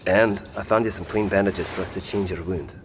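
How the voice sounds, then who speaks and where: Low-voiced caring companion, long reverb. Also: a little fast. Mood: firm concern. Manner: patient, gently insistent. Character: caring, firm companion nursing a wounded partner. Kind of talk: audio drama acting. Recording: long reverberant hall, faint noise.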